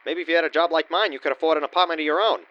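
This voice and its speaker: An actor playing a wisecracking, grumbling husband in a comic radio play, brash and sarcastic. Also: male voice, a little high, racing, dry recording, muffled recording, lightly acted. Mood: exasperated, sarcastic. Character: wisecracking, grumbling sports-mad husband with a sharp tongue. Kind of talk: old-time domestic comedy radio play. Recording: faint background noise.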